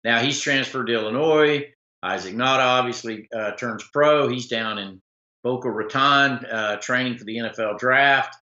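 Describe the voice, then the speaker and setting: A man hosting a casual sports talk stream, rambling with many fillers and restarts, his voice slightly rough. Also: medium pitch, normal speed, pushed and bright, roomy sound, lo-fi sound. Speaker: sports talk show host. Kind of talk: casual live-stream commentary reading viewer comments.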